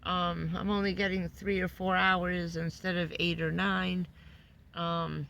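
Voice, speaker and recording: Hoarse, gravelly woman rambling through a vlog update. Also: lowish voice, level tone, measured pace, a bit bright, highs cut off. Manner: chatty, loose, with many fillers. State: hoarse, losing her voice. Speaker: van-life vlogger. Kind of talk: casual rambling live update. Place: living in a van.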